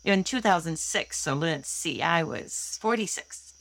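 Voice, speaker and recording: A woman's voice: deep voice, lively intonation, measured pace, creaky, fairly bright tone, poor audio. A woman in her sixties from Michigan, telling her own story earnestly and candidly as a guest on an interview show. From Holland, Michigan, USA. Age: 60s. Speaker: guest inventor.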